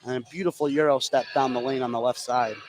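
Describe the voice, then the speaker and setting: A man's voice: mid-range voice, steady tone, fast. A man giving conversational, reflective color commentary and analysis on a live basketball game.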